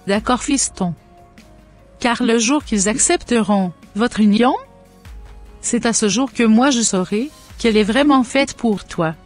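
A woman voicing a devout, cautious mother who advises her grown son deliberately in a scripted cartoon. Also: fairly high pitch, soft background music. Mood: devout, advising.

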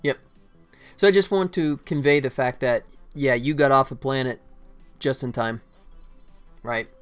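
A man's voice: mid-range voice, measured pace, smooth, soft background music. A middle-aged man chatting casually on a game developer livestream, rambling and jokey in a self-deprecating way, speaking into a desktop mic indoors. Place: indoor room at a computer. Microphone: desktop microphone.

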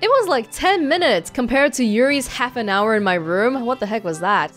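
A woman's voice: fairly high pitch, lively intonation, moderate pace, fairly bright tone, faint music behind. A woman giving casual commentary as the host of a video game let's play.

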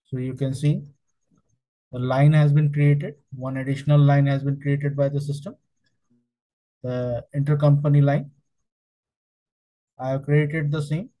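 A man working as a software trainer, narrating a step-by-step tutorial in halting, piecemeal phrases. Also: fairly high pitch, flat delivery, muffled recording.

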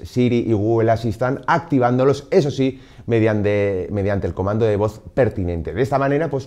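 Lively man presenting gear. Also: mid-range voice. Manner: lively, emphatic presenting with frequent "pues" and "eh" fillers. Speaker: product presenter for a motorcycle gear shop. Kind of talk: scripted product explainer to camera. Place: indoor studio set behind a desk.

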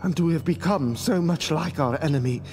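An actor playing a dying, noble and sorrowful guild master in a video game, with solemn, deliberate theatrical delivery. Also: male voice, fairly high pitch, natural voice. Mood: grave regret. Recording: loud music over the voice.

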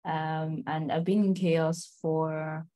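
A woman's voice: low voice, little pitch movement, slow, mellow. A woman who works as a community lead, introducing herself on a video call, hesitant, with many restarts and light laughs.

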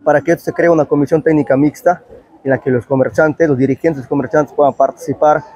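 A Peruvian man in a news interview, speaking as a local official. His voice is husky and mellow, a little high, and the lapel-mic recording is slightly dull. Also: fairly even intonation, quick pace, dry recording. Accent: Peruvian Spanish. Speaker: town councillor being interviewed. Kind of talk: spoken news interview answer. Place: open covered market. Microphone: clip-on lapel microphone.

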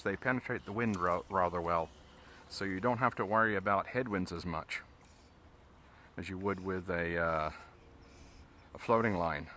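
Low, bright-toned male angler teaching as he fishes, action-cam sound with faint outdoor noise. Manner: relaxed, explains steps as he casts. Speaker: fly fishing instructor. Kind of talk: casual instruction while fishing. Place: outdoors on a river, in a boat.

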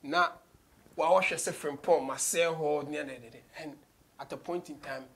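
A man's voice: a little high, sing-song, normal speed, creaky, a bit bright, slight background noise. A man in his thirties with a Ghanaian accent, hosting a casual conversational interview. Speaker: radio show host, interviewer. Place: small indoor studio.

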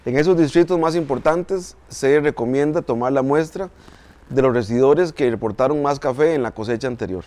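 A man in his forties with a Costa Rican accent, explaining a technical procedure in a measured, methodical way, recorded in a coffee mill warehouse. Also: mid-range voice, warm tone. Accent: Costa Rican Spanish. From Costa Rica. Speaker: coffee institute engineer. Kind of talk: explanatory interview.

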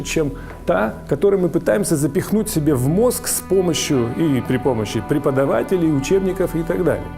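A man with a fairly high voice lectures an audience as an English teacher. He is emphatic and explanatory, repeating his main points, with clear music playing under him.

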